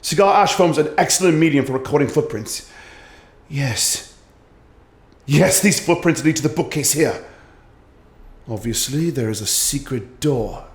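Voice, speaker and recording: A man playing a brilliant detective who gives crisp, commanding explanations and grows excitable in an audio drama. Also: a little high, measured pace. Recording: echoey room.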